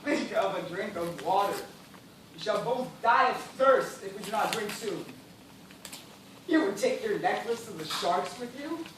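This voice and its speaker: Stage drama: man as parched gentleman castaway, bitter, impatient, theatrical, heard far off in a very reverberant hall. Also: sits high, animated, a little slow. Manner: formal, theatrical, bitter delivery. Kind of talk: amateur one-act stage play acting. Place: indoor theatre stage, recorded from the audience distance. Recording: very echoey noisy hall, far away.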